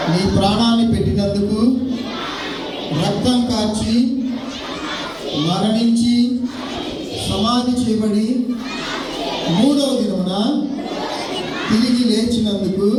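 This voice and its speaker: Man, children's sermon and prayer, short exhorting phrases, heavy echo, noisy crowd behind. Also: high voice. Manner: exhortative, speaking in short phrases with rhetorical questions. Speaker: children's gospel preacher. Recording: echoey hall, distant mic, noisy crowd.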